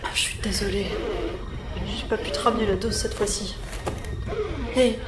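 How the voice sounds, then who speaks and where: A woman's voice: medium pitch, steady tone, moderate pace, heavy background noise, lightly acted. A woman playing an anxious, guilt-ridden reluctant spy and sister in an audio drama, hesitant and stammering, with urgency. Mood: worried. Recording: echoey room, loud music underneath.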